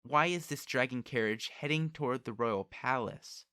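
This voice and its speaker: A man's voice: medium pitch, normal speed, sharp tone, clean tone, dry recording, clean studio sound, pitched above the performer's own voice, natural voice. A man voicing a proud, cold young noblewoman who speaks formally and with condescension in an audiobook. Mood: threatening, haughty.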